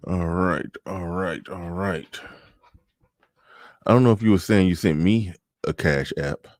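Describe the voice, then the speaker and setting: Laid-back low-voiced man, dry close sound. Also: unhurried, a little mellow, no room sound. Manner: laid-back, laughs often, drawls. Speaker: livestream host. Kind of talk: casual livestream chat reading comments.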